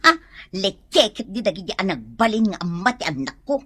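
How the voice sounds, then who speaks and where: A woman's voice: mid-range voice, big pitch swings, normal speed, bright, forceful tone, breathy edge, no room sound, exaggerated voice. A woman playing a hard-pressed, defiant Filipino mother in a radio drama, defensive and complaining. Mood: bitter, complaining.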